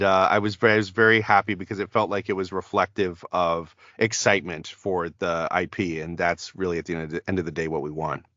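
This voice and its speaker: A man's voice: fairly low pitch, a bit bright, no room sound, lo-fi sound. A man, a voice actor, chatting as a podcast guest, rambling with many fillers and restarts. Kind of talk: casual podcast interview.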